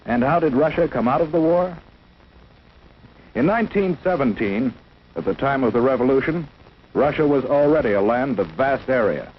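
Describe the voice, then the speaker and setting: An American man narrates in the scripted style of a 1950s instructional film. His medium-pitched voice is formal and solemn, stating each line declaratively. The sound is a dull old film track with faint background noise. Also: expressive, normal speed.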